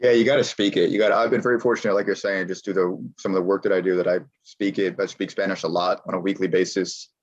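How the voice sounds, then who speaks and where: A man's voice: low-pitched, little pitch movement, quick pace, few pauses, breathy edge, highs cut off. An American man speaking as a guest in a casual interview, talking emphatically and repeating his points. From United States.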